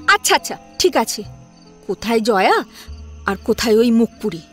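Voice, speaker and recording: Older wife, audio drama, acted by a woman: very high voice, placating yet bitter, music underneath. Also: big pitch swings, normal speed. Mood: humouring. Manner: placating, grumbling. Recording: music behind the voice.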